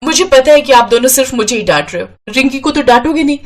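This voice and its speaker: A woman's voice: sits high, expressive, fast talker, voice pushed up. A woman voicing a quarrelsome, anxious young sister in a cartoon story, with a plaintive, emotional delivery. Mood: sulky.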